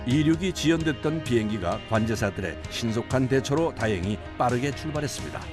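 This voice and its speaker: Male narrator, scripted documentary delivery, average pitch, prominent background music. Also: lively intonation, fairly quick.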